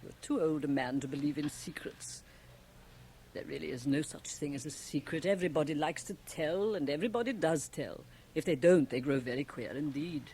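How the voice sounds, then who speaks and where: Worldly middle-aged confidante for radio drama: commanding, mocking, low for a woman, faint tape noise. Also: brisk, warm tone. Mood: stern, cutting. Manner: blunt, mocking, theatrical. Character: shrewd, teasing, domineering worldly woman confidante. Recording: faintly noisy 1980 radio cassette transfer.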